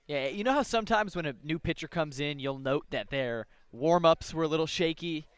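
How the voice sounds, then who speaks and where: A man's voice: on the high side, fairly quick, clean tone, no echo. A man from Chicago giving informal, conversational color commentary on a radio baseball broadcast. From Chicago, United States. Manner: informal, colloquial remarks.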